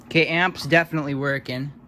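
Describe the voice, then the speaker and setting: Offhand man with a slightly high voice, noisy recording. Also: steady tone, quick pace, sharp tone. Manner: offhand, muttering to himself, mild swearing. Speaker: hobbyist electronics repairer. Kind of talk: casual hands-on demonstration commentary.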